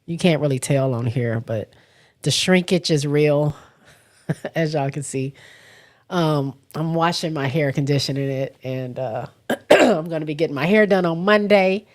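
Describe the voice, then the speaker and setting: A woman with a cold hosting a casual livestream, her voice raspy and stuffy, talking haltingly and stopping often to clear her throat. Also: low-pitched. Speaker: livestream host. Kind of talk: casual livestream chat, reading viewer comments.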